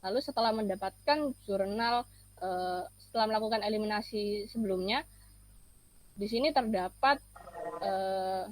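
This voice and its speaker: A young woman explains slides as a student presenter, with hesitant, halting phrasing. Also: mid-range voice, no room sound, poor audio. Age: young adult.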